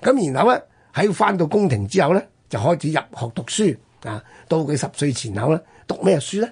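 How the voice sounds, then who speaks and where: Male radio storyteller, Hong Kong Cantonese accent, higher-pitched, heavily husky, conversational history explainer. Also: sing-song, a little fast, darkish tone. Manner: explanatory, punctuated with rhetorical questions and interjections. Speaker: radio history programme host.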